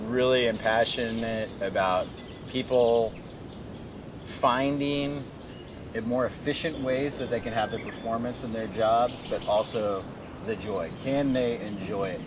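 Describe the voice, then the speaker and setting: A middle-aged man hosting a casual conversational interview as a coach, talking with a friend. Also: mid-range voice. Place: outdoors on paddleboards among mangroves. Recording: very noisy outdoor recording with birds. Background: birds and outdoor ambience.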